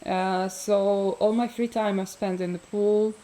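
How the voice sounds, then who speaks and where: Soft-spoken woman, slow and mellow. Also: mid-range voice, fairly even intonation. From Ukraine. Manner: soft-spoken, hesitant with many fillers, laughs often. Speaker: freediver guest. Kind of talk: relaxed interview conversation.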